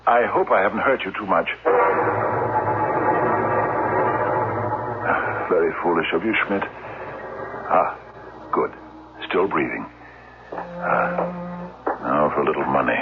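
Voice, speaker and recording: An actor in a 1970s radio drama playing a menacing, oddly courteous robber, haltingly polite yet coldly insistent. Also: male voice, sits low, narrow, tinny audio, exaggerated voice. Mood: calm, apologetic menace. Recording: noisy, thin vintage radio recording.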